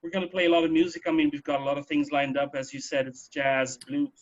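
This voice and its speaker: A man's voice: on the high side, level tone, fast. A man co-hosting a radio show from home in a casual, chatty, explanatory way, often checking in with a "right" or "yeah". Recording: dull, lo-fi home recording.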